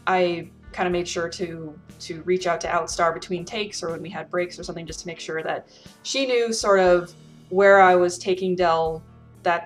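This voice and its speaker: Female roleplay player, lowish register, chatty behind-the-scenes recollection over music. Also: a bit bright.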